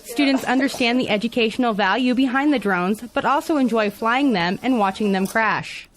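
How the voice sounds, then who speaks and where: Woman reporter reading local news. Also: a little high. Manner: measured, even news-reading delivery.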